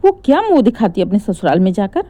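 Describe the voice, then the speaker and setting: A woman's voice: mid-range voice, very animated, fast, mellow, dry recording, natural voice. A woman voicing a stern, sarcastic middle-aged mother-in-law in a story, scolding with pointed rhetorical questions.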